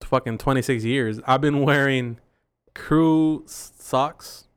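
A man in his twenties, co-hosting a casual podcast, joking loudly and swearing often. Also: mid-range voice, takes it slowly, smooth. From Mexican-American. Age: 20s. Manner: profane, boisterous, joking. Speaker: podcast co-host. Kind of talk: casual drinking-podcast banter.

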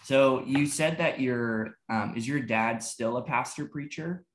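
A man's voice: mid-range voice, unhurried, slight background noise. Upbeat man in an echoey room. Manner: upbeat, hypes the guest, laughs often. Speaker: podcast host, pastor. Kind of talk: casual interview chat. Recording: roomy echo.

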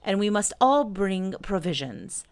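A woman voicing a confident, carefree, gently bossy young boy in a children's storybook, breezy and assured. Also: mid-range voice, big pitch swings, unhurried, clear, resonant tone.

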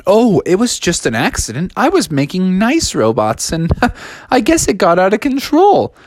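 A man's voice: high voice, huge pitch swings, normal speed, no echo, clean studio sound. Man doing a blustering villain scientist. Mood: wheedling.

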